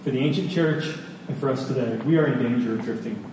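Guy preaching, kinda higher voice, chatty storytelling w emphatic repeats, super echoey room w faint noise. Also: recorded from a distance. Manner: conversational, with emphatic repetition and pauses. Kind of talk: sermon with personal stories. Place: large reverberant room with an audience.

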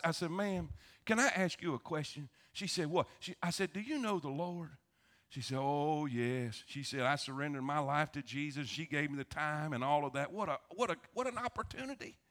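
Male preacher, Southern American, high-pitched and forceful, folksy sermon delivery with repeated phrases. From South Florida, United States. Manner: folksy, animated, repeats phrases, calls for amens. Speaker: pastor. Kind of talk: sermon, folksy storytelling to a congregation.

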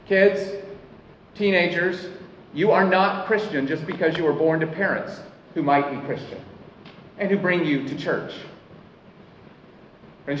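A man's voice: a little high, slight background noise. Earnest man preaching in an echoey hall. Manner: earnest preaching, slows to stress key lines. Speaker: pastor. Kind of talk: sermon. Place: church hall. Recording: echoey hall, mic at a distance, dull sound.

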